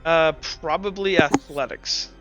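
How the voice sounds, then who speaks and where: A man narrating and refereeing a tabletop role-playing game among friends, in an informal, animated storytelling way. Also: fairly high pitch, a little slow, faint music behind, rough recording. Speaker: game master.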